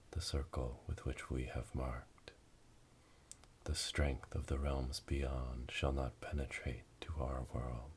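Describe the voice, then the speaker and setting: A man playing a solemn witch elder leading an initiation rite in a breathy, whispered ASMR roleplay, speaking slowly and ceremonially. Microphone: close binaural microphone. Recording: close binaural, rumbling noise, clicks.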